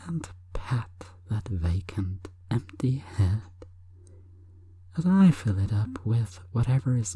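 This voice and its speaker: A woman hypnotist delivering an intimate sleep hypnosis monologue in a breathy, coaxing, deliberate whisper. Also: very deep voice, warm tone, no echo.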